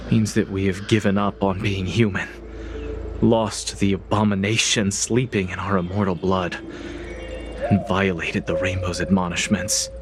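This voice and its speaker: An actor playing a stern, dutiful soldier in a video game, formal, earnest and grave. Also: male voice, low-pitched, slight background noise, natural voice. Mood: bitter resolve. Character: stern, grieving male soldier, dutiful and vengeful. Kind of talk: dramatic video game voice acting.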